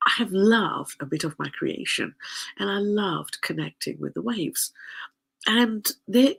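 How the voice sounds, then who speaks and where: A woman's voice: low voice, gravelly, fairly bright tone, husky. A woman hosting a podcast in a casual solo talk, with a nasal voice and a chatty, rambling manner full of fillers. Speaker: podcast host.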